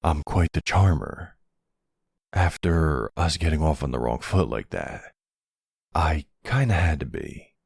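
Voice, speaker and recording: A man playing a charming middle-aged mafia boss, suave and flirtatious, with a gravelly, husky voice, in an intimate ASMR roleplay. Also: extremely low pitch, big pitch swings, measured pace, a little mellow, no room sound, clean studio sound, heavily acted. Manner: suave, courteous, teasing, uses pet names.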